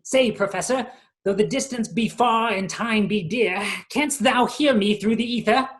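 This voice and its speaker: A woman's voice: fairly high pitch, moderate pace, lightly acted. A woman voicing a hapless, wordy underling in a mock-archaic radio play, formal and theatrical. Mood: sheepish, florid. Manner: florid, theatrical.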